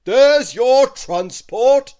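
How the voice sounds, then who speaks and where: A man voicing a huge, jolly male giant wizard who booms and laughs loudly. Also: lively intonation, very slow, smooth, highs cut off, exaggerated voice. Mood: jovial. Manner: booming, shouting, laughing.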